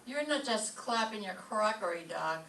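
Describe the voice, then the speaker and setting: A woman's voice: medium pitch, moderate pace. Wry schoolteacher, acted by a woman, echoey stage recording. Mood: dry, wry. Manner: deadpan, sarcastic. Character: wry, sharp-tongued single woman schoolteacher. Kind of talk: staged old-time radio comedy acting. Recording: distant roomy stage recording, faint noise.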